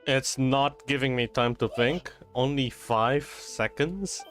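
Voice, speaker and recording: A man's voice: medium pitch, slow pace, fairly bright tone, faint music behind. A man chatting casually and informally while streaming a video game. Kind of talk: casual livestream chat during gameplay.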